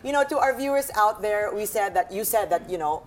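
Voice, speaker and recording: A woman co-hosting a casual talk show, playful and quick to laugh. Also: medium pitch, lively intonation. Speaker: co-host.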